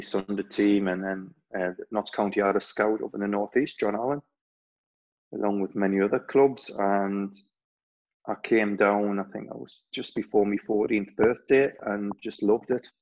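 A man's voice: fairly low pitch, fairly even intonation, moderate pace, a little mellow, muffled recording. A man in his forties with a Geordie accent, a former player and club staff member, answering interview questions in a rambling way with many restarts. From Newcastle, England. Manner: rambling, frequent restarts and fillers.

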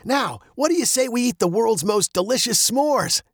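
A man voicing a cheerful, playful dad in a storybook, with an excited, sing-song manner. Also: very high-pitched, moderate pace, no room sound, clean studio sound, voice pushed up, heavily acted. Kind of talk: storybook character voice.

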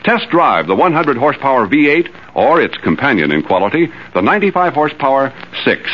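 A man's voice: medium pitch, big pitch swings, a little fast. A man delivering a polished, emphatic scripted commercial in 1950s radio announcer style. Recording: dull, hissy old radio recording.

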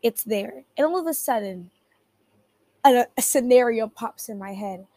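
A young woman hosting a solo podcast, her voice raspy from just waking up, rambling and cutting herself off with little laughs. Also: on the high side, animated, measured pace, mellow, dry recording. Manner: rambling, self-interrupting, giggly. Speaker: podcast host. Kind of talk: casual solo podcast chat.

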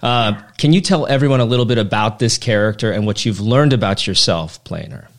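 A man's voice: on the low side, fairly quick. A man hosting a podcast, interviewing a guest in a friendly way with short affirmations. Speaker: podcast host.

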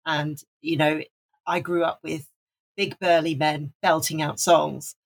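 Husky-voiced Welsh-born woman. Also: low voice, level tone, measured pace. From Wales. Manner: enthusiastic, rambling with restarts. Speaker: podcast co-host. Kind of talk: casual music chat.

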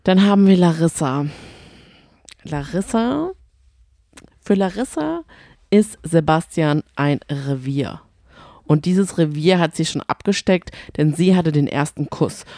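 A woman's voice: on the low side, dark tone, dry recording, clean studio sound. A woman chatting casually and opinionatedly as a podcast co-host, retelling reality TV with acted-out quotes. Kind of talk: casual chat recapping reality TV.

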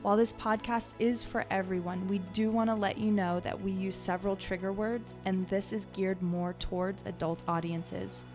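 A woman opens her podcast in an upbeat, casual voice and introduces her co-hosts. Her pitch sits in the middle range. Faint music plays underneath. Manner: upbeat, friendly welcoming. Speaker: podcast host.

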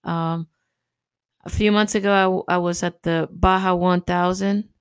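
A woman's voice: on the low side, fairly even intonation, measured pace, clear, resonant tone, dry recording, clean studio sound. A woman who speaks Spanish as her first language, hosting a casual, encouraging podcast monologue full of fillers and little laughs. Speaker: podcast host.

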